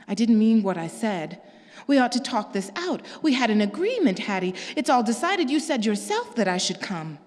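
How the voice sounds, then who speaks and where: A woman reading a novel aloud, voicing a proud, pushy older sister who speaks in a high, excitable, pleading voice. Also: moderate pace. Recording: long reverb.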